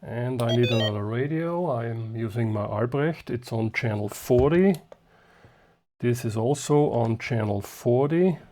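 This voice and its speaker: A man giving an unscripted hands-on demonstration as a hobbyist, talking in short, halting phrases as he works, partly muttering to himself. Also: medium pitch, mellow. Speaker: hobbyist host of radio repair videos.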